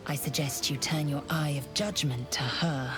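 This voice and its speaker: A woman's voice: sits low, fairly even intonation, moderate pace, music in the background, natural voice. A woman playing a proud, hostile alien warrior in a video game, speaking in curt, scornful, formal phrases. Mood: stern.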